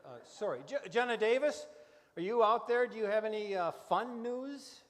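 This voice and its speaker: A man's voice: high voice, moderate pace, close to an everyday voice. A man playing a flustered, politely exasperated festival host in a live radio comedy. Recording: reverberant hall stage recording.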